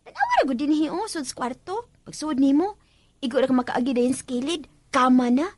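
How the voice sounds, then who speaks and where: Gossipy, admonishing head maid, Cebuano Filipino accent, high and very lively, dry studio sound, radio drama. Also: female voice, fairly quick, no echo. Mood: matter-of-fact, explaining. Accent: Filipino, Cebuano-speaking. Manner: animated, chatty, admonishing. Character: bossy, gossipy senior housemaid showing a newcomer around.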